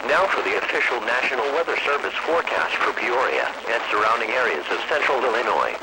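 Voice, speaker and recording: A synthetic-sounding man reads a formal weather bulletin in a measured, impersonal way as an automated announcer heard over radio. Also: mid-range voice, lively intonation. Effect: radio broadcast reception. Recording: very noisy weather-band radio reception.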